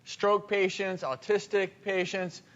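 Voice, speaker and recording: A man's voice: sits high, level tone, slow pace, fairly bright tone, muffled recording. A man presenting an upbeat TV talk show as its host. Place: TV studio stage.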